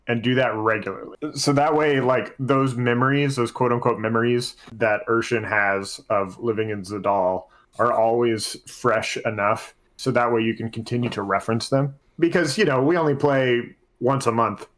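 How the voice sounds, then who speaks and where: A man with a mid-pitched voice speaks as a game master in a casual panel discussion. His delivery is conversational, as on a tabletop gaming podcast. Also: moderate pace, few pauses, a bit bright.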